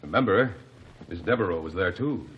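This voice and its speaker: A shrewd, methodical investigator cross-examining witnesses in a vintage radio drama. His questions come measured and probing, and his pitch moves freely. The broadcast sounds muffled, with a faint hiss behind it. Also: animated. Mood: accusing. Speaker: defense attorney questioning witnesses. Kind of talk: 1940s radio drama acting. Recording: dull lo-fi old radio broadcast.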